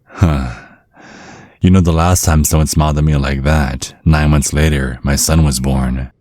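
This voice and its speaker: A man's voice: extremely low pitch, fairly even intonation, measured pace, a little mellow, no echo, clean studio sound. A man playing a centuries-old vampire father in an ASMR roleplay, protective and slyly polite, teasing with veiled threats. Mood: veiled menace. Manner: mock-courteous, insinuating, teasing with veiled threats.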